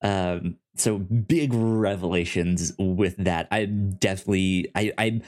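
A man with a fairly low voice talks casually as a podcast co-host. He works through a recap in a halting way, stammering over words and repeating syllables before he lands on the phrase. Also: fairly even intonation, darkish tone. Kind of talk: casual chat recapping a comic series.

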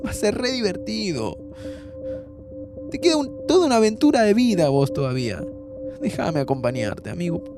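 A man's voice: sits high, sing-song, normal speed, long pauses, over music. An actor playing a playful, impatient young man with an Argentine accent, informal and teasing, in a naturalistic audio drama. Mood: pleading, eager. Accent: Argentine Rioplatense. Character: pleading, playful, impatient young man planning time travel. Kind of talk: naturalistic audio fiction acting.

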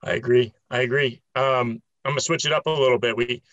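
A man's voice: medium pitch, steady tone, a little fast, a bit bright, no echo, dull audio. A man hosting an interview, who also does some commentating, talking in long, rambling questions full of fillers. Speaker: podcast host and occasional commentator.

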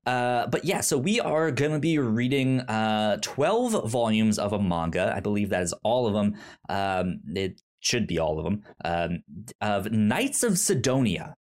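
A man hosting a casual podcast chat, talking in a halting way with many fillers and stammered restarts. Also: on the low side, big pitch swings. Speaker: podcast host.

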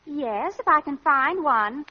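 High-voiced defensive young wife. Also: female voice, expressive, slow. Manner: plaintive, defensive. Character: stubborn, defensive young wife, loyal to her husband. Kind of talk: 1940s radio comedy acting. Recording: dull vintage radio recording.

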